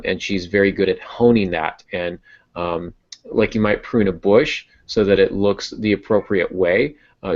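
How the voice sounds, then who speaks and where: A man with a deep voice chats casually as a podcast host. He feels his way through sentences and restates words as he goes. The recording is a bit dull and lo-fi. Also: vocal fry. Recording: dull, muffled lo-fi audio.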